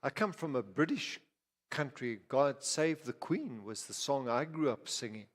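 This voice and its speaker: A man's voice: medium pitch, lively intonation, a little slow. A man from Zimbabwe preaching a sermon as pastor, talking conversationally with frequent restarts, asides and repeated words. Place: church. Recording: reverberant hall.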